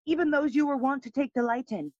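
A woman's voice: high-pitched, fast, darkish tone, dry recording, dull audio, lightly acted. A woman playing a polite, lightly amused young courtier in a Shakespearean audio play. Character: deferential, amused young courtier, old school friend of the prince.

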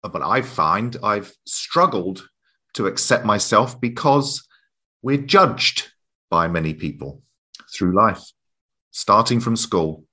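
Hoarse, gravelly man with a bright edge. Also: on the low side, lively intonation, slow. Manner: hesitant, searching for words. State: hoarse from illness. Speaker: podcast co-host. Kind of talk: casual reflective chat.

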